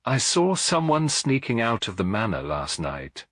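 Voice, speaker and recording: A man voicing a nervous, secretive woman in a story, confessing in a hushed, tremulous way. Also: mid-range voice, huge pitch swings, measured pace, no echo, pitched above the performer's own voice, full character voice. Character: nervous, secretive female witness with notebook.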